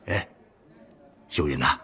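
An actor playing a kindly, hearty cook who is fond of children in a radio drama, with a rough, husky voice. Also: male voice, slow pace, sharp tone. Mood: kindly, cheering. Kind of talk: Chinese radio drama acting.

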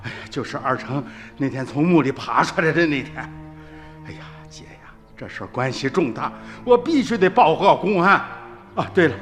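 An insistent middle-aged village father with a high, forceful voice. He gives orders in agitated exclamations, radio-drama style, in a long reverb with music behind. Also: animated, normal speed. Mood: firm, insistent. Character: urgent, principled village father and uncle, commanding and agitated.

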